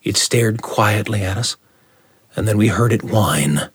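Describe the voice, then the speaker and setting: A man telling a first-person story aloud in a dramatic, suspenseful way, with deliberate phrasing and repetition for effect. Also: lowish voice, slightly rough, dry recording.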